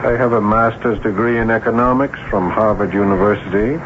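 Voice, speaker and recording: A man acting as a terse, formal chauffeur with a foreign accent in an old radio play. His voice is low and reserved, and the recording is muffled and noisy. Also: fairly even intonation, a little fast, close to an everyday voice. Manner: reserved, formal, clipped answers.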